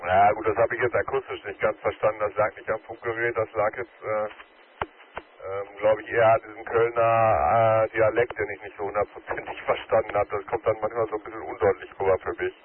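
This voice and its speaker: A man's voice: lowish voice, flat delivery, a little fast, crowd noise. Friendly man on a crackly mobile radio. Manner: friendly, joking sign-offs. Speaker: amateur radio operator. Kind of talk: casual radio chat. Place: in his car while driving. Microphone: mobile radio transceiver. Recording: narrow radio band with static and road noise.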